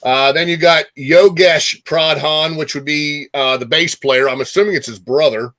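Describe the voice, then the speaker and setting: A man's voice: a little high, moderate pace, a bit bright. A man hosting a music show in a casual, informal chat, repeating phrases for emphasis.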